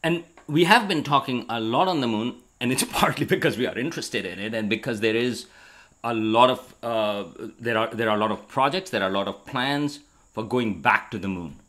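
A man's voice: medium pitch, brisk, a bit bright. A middle-aged man in a casual science conversation, speaking as an educator and co-host into a handheld microphone indoors. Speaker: astronomy educator and show co-host. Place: bright room at a table indoors. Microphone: handheld interview microphone held out to him.

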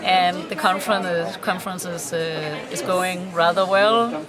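A woman's voice: on the low side, fairly even intonation, measured pace. A woman from Denmark, casually addressing the camera as a conference attendee. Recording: loud music and crowd noise.

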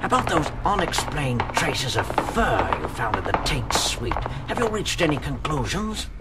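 An actor playing a courteous, faithful butler with a formal, deferential, precise way of talking in a 1970s children's audio drama. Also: male voice, fairly high pitch, very animated, moderate pace, heavy background noise. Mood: attentive, intrigued. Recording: old vinyl record under loud music.

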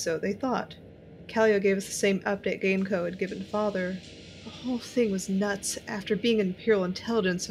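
A woman's voice: medium pitch, slight background noise, natural voice, low-quality recording. A woman playing a tense, watchful young intelligence agent, narrating her own story in an audio drama. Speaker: narrator and lead character. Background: music.

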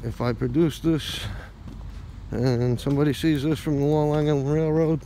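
A man's voice: fairly high pitch, steady tone, normal speed, mellow. A man vlogging outdoors at night as he walks, rambling to the camera he holds. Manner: rambling, talking to the camera while walking. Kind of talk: casual narration while walking. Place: outdoors on a quiet street at night. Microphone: phone camera held while walking. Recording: noisy outdoor phone recording. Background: idling diesel engine.

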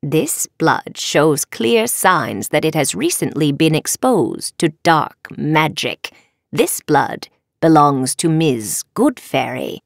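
Woman voicing a stern, slow-spoken witch professor. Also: low voice, big pitch swings, creaky, dry recording, clean studio sound, full character voice. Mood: grave, accusing. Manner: deliberate, formal admonishing. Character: stern old witch professor, measured and knowing.

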